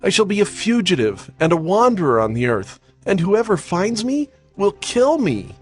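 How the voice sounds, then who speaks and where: High-pitched, defiant guilty Cain. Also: male voice, big pitch swings, normal speed, faint music behind. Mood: anguished protest. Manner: emotional, protesting. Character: Cain, defiant anguished man, guilty brother. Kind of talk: dramatized scripture audio.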